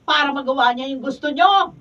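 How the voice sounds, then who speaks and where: Actress playing stubborn housewife, female, Filipino accent, high-pitched, radio drama, distant muffled recording. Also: fairly quick, natural voice. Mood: firm, defiant. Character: principled, stubborn housewife standing up for the law.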